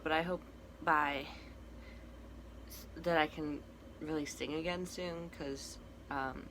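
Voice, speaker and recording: A young man with a cracky, breaking voice, giving a casual personal update in a halting, soft-spoken way. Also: on the high side, gravelly. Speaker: vlogger. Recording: noticeable background noise.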